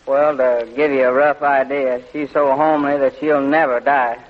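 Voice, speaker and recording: An actor playing a good-natured, slow-witted sidekick in an old radio comedy, folksy and deadpan. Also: male voice, mid-range voice, lightly acted. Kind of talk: 1940s radio comedy acting. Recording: tinny, narrow old radio recording.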